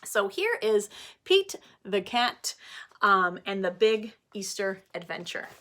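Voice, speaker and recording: A woman's voice: mid-range voice, sing-song, slow, a bit bright. A woman speaking as an early-childhood teacher, explaining things simply to children and parents in a craft and read-aloud lesson.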